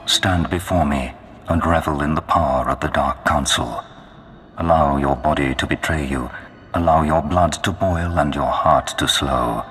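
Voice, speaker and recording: Game villain: dark imperial overlord, menacing and grandiose, bottomless bass pitch, music underneath. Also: male voice. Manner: grandiose, slow, commanding. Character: menacing male Sith lord of a dark empire. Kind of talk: video game villain acting. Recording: long cavernous reverb.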